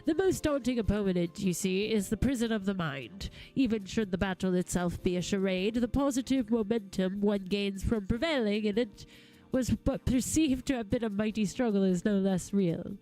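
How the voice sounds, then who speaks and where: A woman voicing a flamboyant, grandiose gentleman inventor from a fantasy game, with florid, theatrical phrasing. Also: medium pitch, moderate pace, soft background music, natural voice, rough recording. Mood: grandly explaining. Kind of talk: live read-aloud of game dialogue.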